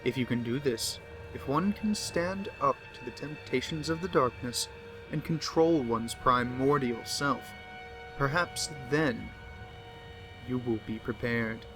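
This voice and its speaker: A man plays a pompous, unhinged male diarist of the occult, full of scorn for humanity. He speaks very slowly and theatrically at a medium pitch, lingering on words as horror narration. Prominent music runs behind the voice. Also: natural voice. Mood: ominous, warning. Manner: theatrical, slow and deliberate, lingering on words, dropping to whispers. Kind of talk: dramatic horror story narration.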